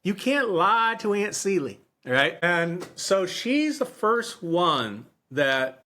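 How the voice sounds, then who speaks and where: Halting man in his fifties, casual podcast talk. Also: sits high, sing-song, bright, forceful tone. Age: 50s. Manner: halting, circling back and repeating phrases. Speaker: podcast host. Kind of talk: casual book discussion.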